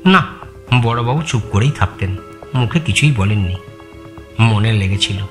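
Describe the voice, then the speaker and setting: Lowish-voiced, deferential loyal retainer. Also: male voice, lively intonation, a little slow. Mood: explanatory. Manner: deferential, plain, explanatory answers. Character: Jashoda, loyal, respectful male long-time employee of a merchant. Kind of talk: audio story acting. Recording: music bed behind the voice.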